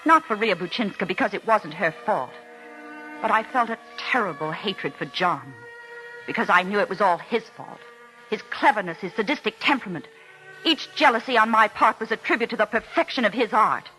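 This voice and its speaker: A woman's voice: medium pitch, expressive, brisk, pushed and bright, faint music behind, rough recording. A woman playing a proud, bitter, wronged wife in a 1940s radio drama, with intense, theatrical delivery. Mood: bitter, confessing.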